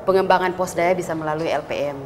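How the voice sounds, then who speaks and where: Hoarse woman with low voice, noisy background. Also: fairly even intonation, fast. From Gorontalo, Indonesia. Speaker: interview guest from a university.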